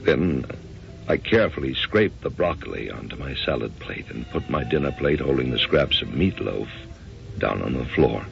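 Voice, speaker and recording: Very deep, gravelly, bewildered American husband. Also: male voice, expressive, soft background music, exaggerated voice. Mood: uneasy narration. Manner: hesitant, halting. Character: bewildered, conventional middle-aged husband, uneasy and earnest. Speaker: actor and first-person narrator. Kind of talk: old-time radio drama acting. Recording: dull, muffled radio drama recording.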